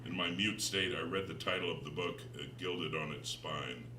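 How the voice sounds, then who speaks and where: A man's voice: low voice, steady tone, normal speed. A man giving a dramatic literary narration, reading from a gothic novel as its author. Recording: roomy, distant, noisy recording.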